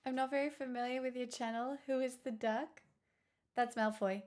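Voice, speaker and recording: A woman's voice: on the high side, fairly even intonation, moderate pace, darkish tone. A woman livestreamer chatting casually with her viewers, playful, teasing and self-mocking. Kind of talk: casual stream chat with viewers.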